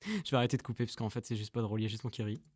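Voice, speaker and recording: A man's voice: medium pitch, rapid-fire, a little mellow. A man narrating a casual vlog as an amateur dubber, informal and self-deprecating. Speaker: amateur voice dubber and channel creator.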